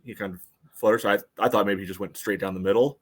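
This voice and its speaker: A man's voice: on the low side, fairly even intonation, fast. A man co-hosting a soccer podcast, casually analysing play in an explanatory way with frequent "you know" asides. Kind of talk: casual sports analysis chat.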